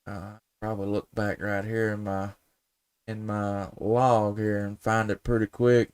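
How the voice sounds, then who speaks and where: Smooth-voiced man chatting on ham radio. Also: lowish voice, little pitch movement, measured pace, no echo, clean studio sound. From United States. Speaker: amateur radio operator, live stream host. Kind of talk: casual radio contact chat.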